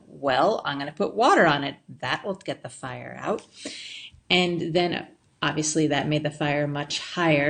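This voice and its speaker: A woman in her fifties telling a friend a story for a recorded birthday tribute, relaxed, with fillers. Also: deep voice.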